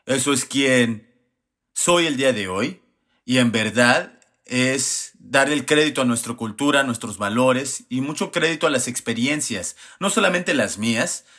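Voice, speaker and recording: Hombre mexicano de mediana edad, acento mexicano, voz de tono medio. Habla pausado y reflexivo, como ponente en un panel comunitario. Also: male voice, mid-range voice, fairly bright tone. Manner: measured, reflective storytelling. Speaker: executive director on a panel. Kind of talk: panel conversation.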